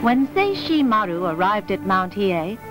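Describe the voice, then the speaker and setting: A woman narrator with a medium-pitched voice tells a scripted story. Music plays clearly beneath her narration. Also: sing-song, unhurried. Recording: music under the voice.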